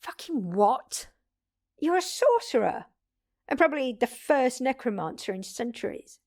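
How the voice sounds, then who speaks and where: A woman's voice: high-pitched, sing-song, measured pace, darkish tone, full character voice. A woman playing a blunt, wry immortal vampire mentor in a one-sided audio drama, conversational and teasing in her delivery. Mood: incredulous, exasperated.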